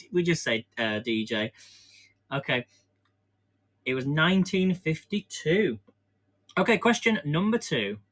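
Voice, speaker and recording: A rambling, good-humoured English man hosts a live stream from his kitchen, speaking in a slightly higher than usual voice for a man. He chats loosely between tasks, laughs at himself often and keeps things informal. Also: a bit bright, breathy edge. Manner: chatty, rambling, self-deprecating, laughs often. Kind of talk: casual live-stream chat while baking. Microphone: camera on a stand.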